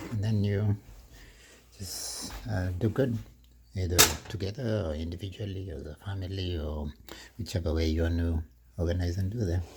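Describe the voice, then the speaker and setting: A middle-aged man from Kenya, chatting slowly in his home kitchen while cooking, with many fillers and soft laughs. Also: sits low, background noise. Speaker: vlogger. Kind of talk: casual cooking vlog monologue.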